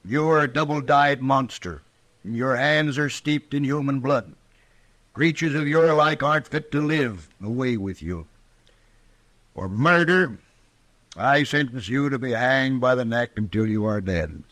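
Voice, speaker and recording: A man's voice: mid-range voice, a little slow, a bit bright, no echo, natural voice. A man playing a brusque, self-satisfied, hard-drinking judge in a radio western, folksy yet pompous and peremptory. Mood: stern, thundering. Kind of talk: 1940s-50s radio western acting.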